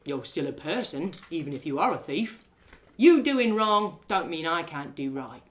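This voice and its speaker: A woman playing a kindly male prison guard with a folksy, polite and gentle way of talking. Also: low voice, sing-song, moderate pace.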